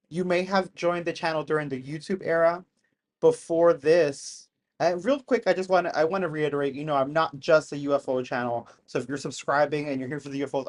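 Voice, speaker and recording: A person in their thirties chatting casually with live-stream viewers from home in the countryside, rambling and laughing often. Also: medium pitch. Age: 30s.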